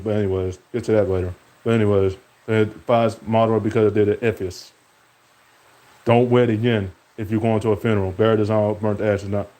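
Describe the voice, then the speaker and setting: Deep-voiced man rambling. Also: mellow, poor audio. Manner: rambling, jumps between topics, talks to an absent rival. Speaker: streamer. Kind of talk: rambling casual monologue.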